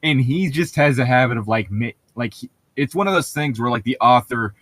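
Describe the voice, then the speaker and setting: A man's voice: medium pitch, animated, fairly quick, a bit bright, no room sound. A man co-hosting a casual book commentary, swearing freely and rambling through his explanations with plenty of "like". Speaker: podcast co-host reading and critiquing a book.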